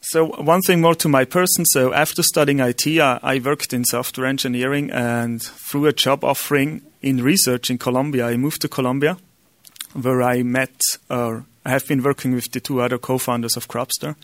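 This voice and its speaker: A man's voice: medium pitch, fairly even intonation, moderate pace, no echo. A middle-aged man with an Austrian German accent, a non-native speaker giving a conference lecture with many ums and restarts.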